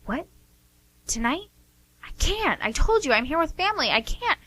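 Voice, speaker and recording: Actress as touchy secretive sister, bright sharp voice, animated, curt, amateur radio drama. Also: female voice, sits high, moderate pace, full character voice. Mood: tense, secretive. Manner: curt, emphatic.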